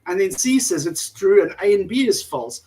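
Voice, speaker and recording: A man's voice: high voice, expressive, normal speed, warm tone, poor audio. A man in his forties with a South African accent, talking as a guest in a casual interview, rambling and hesitant with many fillers. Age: 40s. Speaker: guest activist.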